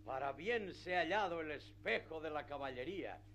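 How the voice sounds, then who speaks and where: An actor playing a pompous, flattering village priest in a declamatory radio theatre style. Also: male voice, on the high side, normal speed, slight background noise. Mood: effusive, flattering. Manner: declamatory, grandiloquent. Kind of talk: radio theatre acting of classic literature.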